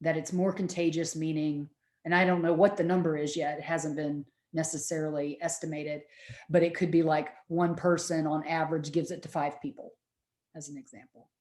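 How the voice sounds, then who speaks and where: A middle-aged woman, lecturing students in a loud, projecting voice and often checking that they follow. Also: low voice, level tone, normal speed, reverberant. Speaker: university lecturer.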